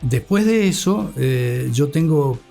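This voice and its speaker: An Argentine man speaking thoughtfully as an interview guest and radio scholar, with deliberate delivery and a formal, learned vocabulary. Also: fairly high pitch, animated, vocal fry, dark tone, soft background music.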